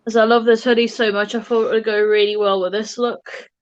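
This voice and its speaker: A woman's voice: a little high, little pitch movement, brisk, dry recording, muffled recording. A woman joining a live stream by video call, chatting in an upbeat way about her outfit and hair. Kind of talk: casual chat.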